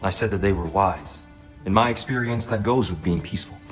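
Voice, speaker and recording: Male actor as aged, dignified deity for a game, low register, composed and gentle delivery. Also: a little fast, music in the background, close to an everyday voice. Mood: calm conviction. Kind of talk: dramatic video game acting.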